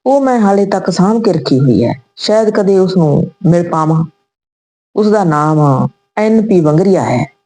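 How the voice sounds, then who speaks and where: A woman's voice: on the low side, animated, measured pace, warm tone. A woman voicing a wistful, regretful old retired soldier reminiscing in a told story. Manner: reminiscing, sentimental.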